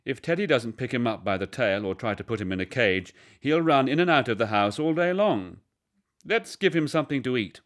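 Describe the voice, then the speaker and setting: A man's voice: mid-range voice, lively intonation, brisk, a bit bright, voice pushed up, close to an everyday voice. Man acting a big English father, storybook character, confident, hearty, reassuring.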